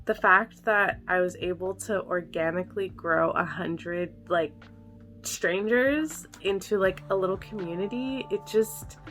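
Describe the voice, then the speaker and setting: A young woman hosting a ghost reaction vlog, chatty and excitable, a bit nasal from a cold. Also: lowish voice, vocal fry. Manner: bubbly, excitable, frequent "like" and gasps. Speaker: ghost video reaction host.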